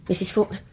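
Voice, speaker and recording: An actress playing an anxious, guilt-ridden younger British woman in a radio play, confiding in a hushed, hesitant, breathy voice. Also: slight background noise. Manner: tense, hesitant, confessional. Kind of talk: radio play acting with intimate first-person narration.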